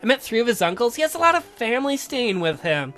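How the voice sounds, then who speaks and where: A woman's voice: fairly high pitch, sing-song, fast talker, a bit bright, soft background music. A woman voicing a reserved, lovestruck young woman in a visual novel, dry and hesitant. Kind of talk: visual novel read aloud in character.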